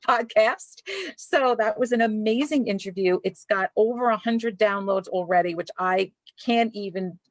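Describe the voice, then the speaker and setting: A woman's voice: medium pitch, dry recording. A woman from Brooklyn with a Brooklyn accent, hosting a live library author chat in an effusive, chatty way. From Brooklyn, New York. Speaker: librarian host.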